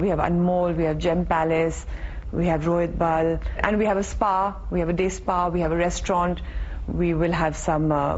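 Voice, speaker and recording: A woman's voice: on the low side, darkish tone. A woman with an Indian accent answering interview questions as a business executive, in a considered way that repeats phrases for emphasis. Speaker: real estate executive being interviewed. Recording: dull sound with faint background noise.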